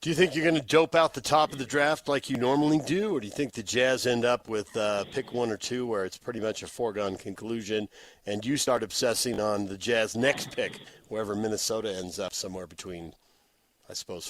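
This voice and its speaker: A man's voice: fairly low pitch, fairly even intonation, a little fast, a little hiss or hum. A man hosting a sports radio show, interviewing a guest in a conversational, playful and wry way.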